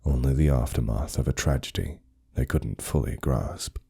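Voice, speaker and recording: A man's voice: rumbling low, moderate pace, gravelly, mellow, husky. A man narrating a scripted horror story in a grave, suspenseful manner.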